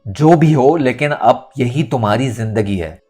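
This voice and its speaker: A man's voice: medium pitch, unhurried, a little mellow, breathy edge. A man voicing a domineering, scornful man in a dramatic audiobook, speaking in a threatening, mocking manner. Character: domineering, scornful man forcing a woman into marriage. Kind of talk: dramatic audio novel reading. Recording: music under the voice.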